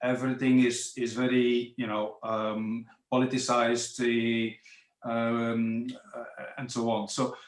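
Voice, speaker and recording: Flat-toned, halting man in echoey room. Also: mid-range voice. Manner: halting, repeats words. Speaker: panelist. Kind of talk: unscripted panel contribution. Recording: echoey room on webinar connection.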